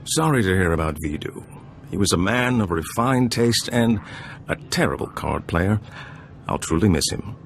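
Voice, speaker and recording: An actor playing a suave older gambler and information broker in a video game, smooth-talking, theatrical and pleased with himself. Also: male voice, on the low side, lively intonation, normal speed, faint music behind. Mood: smug charm. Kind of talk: video game dialogue acting.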